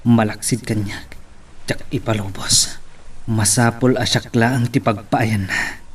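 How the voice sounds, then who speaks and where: Brooding, irritable Ilocano husband played by an actor, distantly miked. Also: male voice, mid-range voice, fairly even intonation, unhurried, husky. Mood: brooding, possessive. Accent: Filipino, Ilocano. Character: evasive, brooding unfaithful-seeming husband, irritable and obsessive. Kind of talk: Filipino radio-style romance drama acting. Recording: distant mic with background noise.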